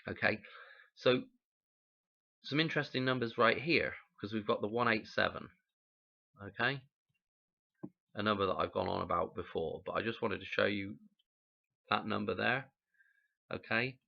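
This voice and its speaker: A man, croaky from a cold, rambling through a casual explanation and often checking in with "okay?". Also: lowish voice, moderate pace, pauses often, dull audio. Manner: rambling, musing, frequent "okay?" check-ins. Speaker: channel host explaining number codes.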